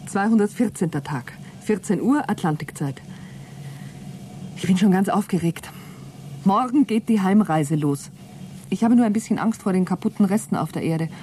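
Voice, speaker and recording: A woman's voice: medium pitch, expressive, moderate pace, warm tone, a little hiss or hum, lightly acted. A woman acting an earnest, hopeful astronaut in a German radio play, speaking in an articulate, reflective way. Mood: excited, slightly anxious.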